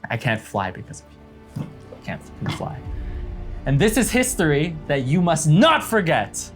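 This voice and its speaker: A man playing a tabletop game, improvising in-character speeches in a halting, theatrical way. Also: fairly high pitch, wildly swooping pitch, faint music behind.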